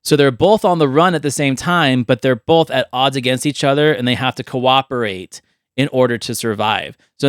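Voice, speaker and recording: Guy hosting a film podcast, chatty and into it, normal pitch, really dry sound. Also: smooth, dry recording. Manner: enthusiastic. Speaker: podcast host. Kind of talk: casual movie pitch and discussion.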